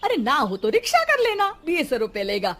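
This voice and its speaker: A woman's voice: high voice, huge pitch swings, normal speed, fairly bright tone, full character voice. Woman voicing a thrifty cartoon mother-in-law. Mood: advising. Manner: scolding, motherly advice. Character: thrifty middle-aged mother-in-law, firm and homely. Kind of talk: cartoon story dubbing. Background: background music.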